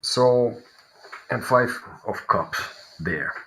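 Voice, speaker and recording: A man with a Finnish accent and a fairly low voice reads tarot cards live. He thinks aloud as he goes, pausing and hunting for the right word before settling on his reading. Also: animated, a bit bright, rough recording. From Finland. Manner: thinking aloud, searching for words. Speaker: tarot reader.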